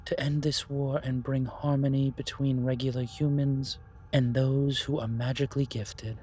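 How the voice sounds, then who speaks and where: A man playing a calm, wise, otherworldly keeper of magic in a fantasy audio roleplay, speaking formally and ceremoniously. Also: mid-range voice, moderate pace, a little hiss or hum, lightly acted. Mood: solemn, ceremonious.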